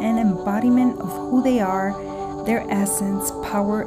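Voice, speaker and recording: A woman hosting a podcast, reading a rhythmic scripted intro and then chatting casually with her guest. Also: mid-range voice. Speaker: podcast host.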